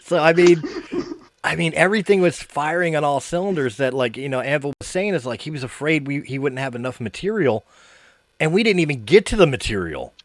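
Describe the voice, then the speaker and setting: A man's voice: on the high side, lively intonation, brisk. A man hosting a casual podcast chat. He rambles, repeats himself and laughs often. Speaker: podcast host.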